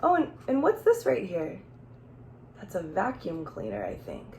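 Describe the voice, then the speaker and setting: A woman's voice: mid-range voice, wildly swooping pitch, unhurried, vocal fry. A woman teacher reading a children's storybook aloud, expressively, with questions and asides addressed straight to young listeners.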